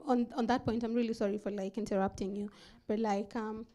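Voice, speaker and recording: A woman hosting an interview, teasing her guest and asking questions lively. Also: mid-range voice, brisk. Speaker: host. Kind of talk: casual interview chat.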